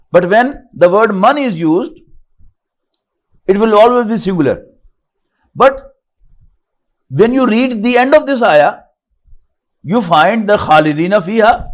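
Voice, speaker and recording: Older Pakistani male Quran teacher, high lively voice, recites verses, then explains each phrase. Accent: Pakistani English. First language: Urdu. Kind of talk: online lecture, reciting and translating verses.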